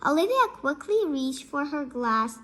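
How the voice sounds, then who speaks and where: A girl of about eight reads a storybook aloud, carefully and a little haltingly. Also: sits high, big pitch swings, pushed and bright. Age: child, about 8. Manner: halting, careful reading with slips.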